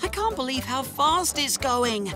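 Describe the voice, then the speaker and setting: Bright, high-voiced cartoon boy engine. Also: very animated, a little slow, heavily acted. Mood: excited. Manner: bouncy, earnest. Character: cheerful, eager young boy tank engine. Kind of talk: children's cartoon acting. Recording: music under the voice.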